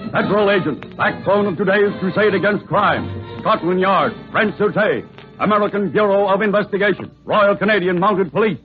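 A man's voice: high voice, moderate pace. A man announcing and narrating an old radio crime drama in a scripted 1940s style. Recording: tinny vintage radio recording, music behind.

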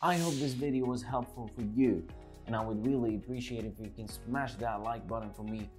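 A man in his twenties narrating a software tutorial as its host, explaining step by step. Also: medium pitch, brisk, faint music behind. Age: 20s.

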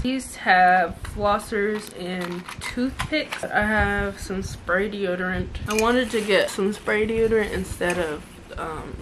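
A young woman talks easily through her purchases, pitch right in the middle of the usual range. She sits on a living-room couch in front of her camera. Steady background noise runs under the recording. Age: young adult. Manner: chatty, relaxed, lists items with asides. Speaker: vlogger. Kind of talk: casual haul vlog.

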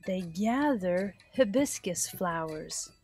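A woman's voice: fairly low pitch, animated, slow pace, a little mellow, soft background music. A middle-aged woman hosting a children's story time, reading aloud expressively and putting simple questions to her young listeners.

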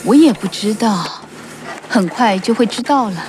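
A woman's voice: mid-range voice, expressive, moderate pace, background noise, natural voice. A woman voicing a concerned, caring but firm mother goat in a children's cartoon. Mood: reassuring, firm.

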